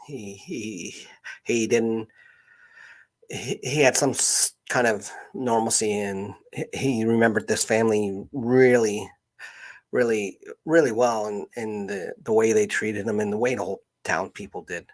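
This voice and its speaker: A man hosting an informal live stream, telling stories in a halting way, often repeating and restarting his phrases. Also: lowish voice, steady tone. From Canada. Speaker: live stream host, genealogist.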